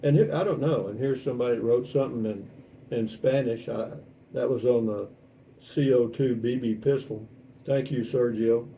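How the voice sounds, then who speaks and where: Texan male, 70s, wry folksy vlog host. Very soft-edged, warm tone, casual delivery, distant mic with slight noise. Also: medium pitch, moderate pace. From South Texas, United States. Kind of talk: casual chat, reading out and answering viewer comments.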